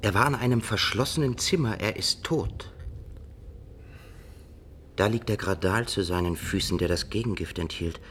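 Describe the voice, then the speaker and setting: Radio drama casting: male actor as doubting, argumentative medieval courtier, lowish voice, faint ambience behind. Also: moderate pace, long pauses, fairly bright tone, a little hiss or hum, lightly acted. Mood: insistent, reasoning. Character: sceptical, reasoning medieval companion of the emperor. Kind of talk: radio play acting.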